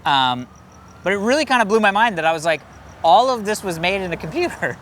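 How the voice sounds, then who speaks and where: Enthusiastic, higher-voiced American man. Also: sing-song, fairly quick, fairly bright tone. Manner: enthusiastic, emphatic, rambling. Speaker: film review show co-host. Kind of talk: casual unscripted movie review chat.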